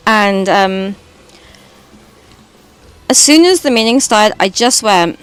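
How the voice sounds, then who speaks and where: A British woman with a high voice, streaming and chatting casually while gaming, rambling and swearing now and then. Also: lively intonation, slow pace, smooth, faint music behind. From Britain.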